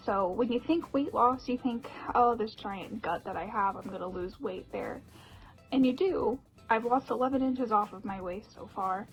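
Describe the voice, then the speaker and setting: A woman's voice: fairly high pitch, poor audio. A young woman talking casually to her viewers in a personal vlog, chatty, with frequent laughs and "um" fillers. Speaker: vlogger.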